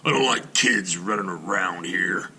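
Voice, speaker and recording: A grouchy grown man next door grumbles in a gruff, flat way, voiced by a man. He sounds clear and bright. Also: deep voice, measured pace, a little hiss or hum, exaggerated voice. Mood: grumpy. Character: grumpy middle-aged man neighbor, stingy.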